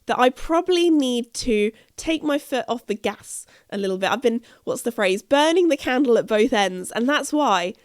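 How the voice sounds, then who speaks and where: British woman, croaky and husky, rambling podcast chat, fairly high voice, dry recording. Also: lively intonation, normal speed, hardly any pauses, dry recording. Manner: chatty, rambling, self-interrupting. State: croaky, husky voice from a chest infection. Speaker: podcast host. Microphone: podcast microphone.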